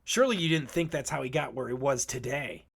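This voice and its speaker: Actor as veteran creature adventurer, brash, needling, slangy, cynical mentor, medium pitch, cartoon dub. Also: a little fast, vocal fry, slightly rough. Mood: sly, knowing. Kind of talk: animated comic dub acting.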